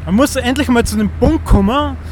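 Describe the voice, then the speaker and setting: A man's voice: extremely high pitch, moderate pace, darkish tone, a little hiss or hum. A man in his thirties with an Austrian accent, talking in an earnest, rambling monologue and often restarting as he searches for words. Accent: Austrian German. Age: 30s.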